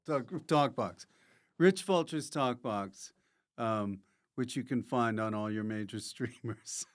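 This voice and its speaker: Slow-talking man hosting a rambling comedy podcast. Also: medium pitch. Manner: forgetful, halting, losing his thread; jokey, prone to loud mock announcements. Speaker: podcast host. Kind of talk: rambling comic podcast chat.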